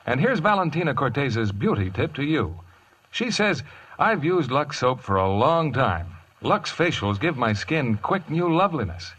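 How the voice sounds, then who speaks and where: An American man working as a 1950s radio announcer, reading scripted copy with polished, measured enunciation. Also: medium pitch, a bit bright, slightly rough, poor audio. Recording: vintage radio broadcast with music. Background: music.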